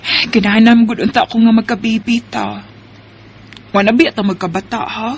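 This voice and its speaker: High-voiced young woman in love, acted out by a woman. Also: fairly even intonation, fairly quick, husky. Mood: wistful, pleading. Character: affectionate young woman longing to settle down. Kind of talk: Filipino radio drama acting in Bisaya.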